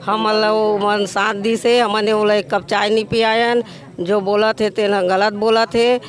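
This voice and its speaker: A man's voice: sits high, level tone, a little slow. A man with a Chhattisgarhi accent giving plain colloquial testimony as an interviewee. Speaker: interviewee from a victim's family.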